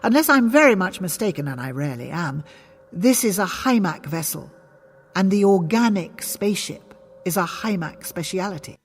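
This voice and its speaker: A woman voicing a confident, learned, eccentric male time traveller with a gruff, breezy manner in an audiobook. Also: on the low side, expressive, moderate pace. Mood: breezy, assured. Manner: gruff, breezily self-assured. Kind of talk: dramatic audiobook character dialogue.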